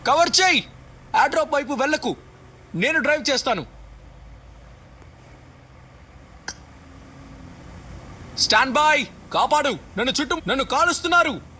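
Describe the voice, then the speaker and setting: A man voicing an urgent, excitable squadmate in a battle royale video game, giving clipped commands. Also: very high-pitched, quick pace, a little hiss or hum, exaggerated voice. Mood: urgent alert. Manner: clipped, imperative callouts. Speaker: game character voice.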